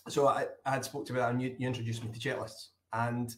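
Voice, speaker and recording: A man's voice: medium pitch, flat delivery, racing, fairly bright tone. Scottish male guest, mid-range voice, hesitant and friendly, plenty of fillers. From Scotland. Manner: hesitant, many ums and restarts. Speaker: hotel owner, guest. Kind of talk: casual interview answers.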